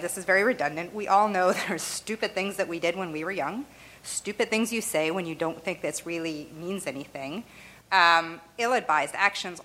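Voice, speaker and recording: A woman's voice: on the low side, sharp tone, poor audio. A woman whose first language is Arabic, explaining her views in a loose, conversational way with frequent "you know" and "right?" and light laughs. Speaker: interviewee.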